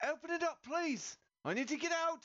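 A man's voice: very high-pitched, expressive, a little fast, bright, forceful tone, lo-fi sound, voice pushed up, full character voice. A man voicing a trapped young man with strained, urgent pleading in a tabletop game. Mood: desperate pleading.

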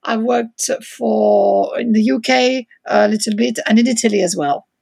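French lady with a husky gravelly voice, kinda high, restarts her sentences a lot. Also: measured pace, darkish tone. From France. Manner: hesitant, restarts phrases, searches for English words. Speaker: interior designer guest. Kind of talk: interview answers.

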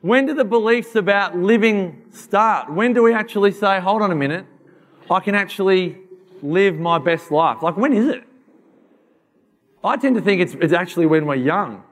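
A hoarse man in his thirties giving a fast, animated motivational talk to an audience. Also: sits high. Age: 30s. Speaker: keynote speaker.

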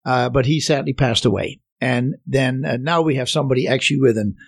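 A male radio host speaks with a foreign accent. His pitch sits in the middle, and he talks in halting bursts with fillers and restarts. The studio sound is dry and close, with no room echo. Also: creaky. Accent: foreign accent, not specific. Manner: hesitant, many restarts and fillers. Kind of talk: conversational radio interview.

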